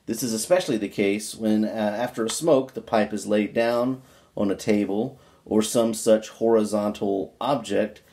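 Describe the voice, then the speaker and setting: A man's voice: on the low side, normal speed, warm tone. Man reading an old book aloud. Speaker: amateur book reader.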